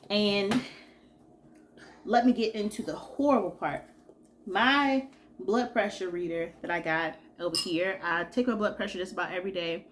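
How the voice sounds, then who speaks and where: A woman's voice: fairly low pitch, a little hiss or hum. Congested young woman telling a story. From Georgia, US. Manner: chatty, animated storytelling with reenacted dialogue. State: congested and sick. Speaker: vlogger. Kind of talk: casual storytime vlog.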